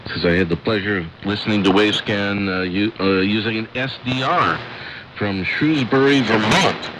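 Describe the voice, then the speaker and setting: A man's voice: lowish voice, normal speed. A man hosting a radio program, informally reading out listener reports and hesitating over his notes. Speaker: radio host. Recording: noisy shortwave radio reception.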